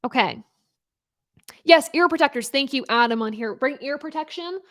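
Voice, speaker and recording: Young woman host, chatty live-stream talker, fairly high voice, dry close sound. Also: expressive, normal speed, fairly bright tone, dry recording. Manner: chatty, upbeat, laughs often, many fillers and restarts. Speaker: host of a live racing talk show. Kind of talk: casual live-stream chat answering viewer questions.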